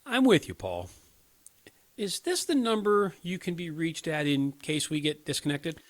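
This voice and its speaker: An actor playing an earnest, inexperienced young crisis counselor in a radio play, hesitant and pleading. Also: male voice, fairly high pitch, big pitch swings, a little slow. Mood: careful, concerned. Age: 20s.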